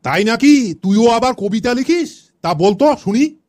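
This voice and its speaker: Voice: mid-range voice, lively intonation, unhurried, a little mellow, natural voice. An actor playing a chatty, inquisitive male schoolteacher who questions pupils familiarly in a comedy cartoon.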